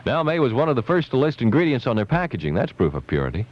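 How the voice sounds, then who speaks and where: An American man working as a radio DJ, playful and chatty, ad-libbing through lively patter and ad reads. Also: mid-range voice, very fast. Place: radio studio. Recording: dull lo-fi 1970s AM radio aircheck.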